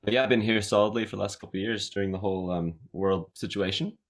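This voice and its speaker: A man from California, with an American accent tinged with Australian, chatting as a guest singer-songwriter in a relaxed, hesitant way full of fillers. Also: on the low side, fast talker. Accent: American, slightly Australian. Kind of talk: casual interview chat. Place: his home recording studio.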